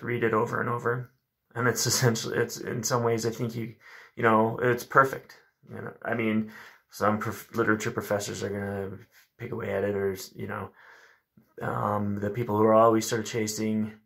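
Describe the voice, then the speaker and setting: A man's voice: lowish voice, gravelly, a bit bright. A man in his thirties talking about and reading poetry in a reflective, informal way. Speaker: host of a poetry reading video. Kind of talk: poetry recitation and casual commentary. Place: small room at home with a bookshelf, indoors.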